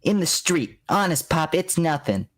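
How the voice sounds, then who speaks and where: A man's voice: on the high side, very animated, unhurried. A man playing a bossy, street-smart boy in a vintage-style radio drama, brash and slangy. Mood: evasive. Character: bossy, scheming street-smart boy caught by his father.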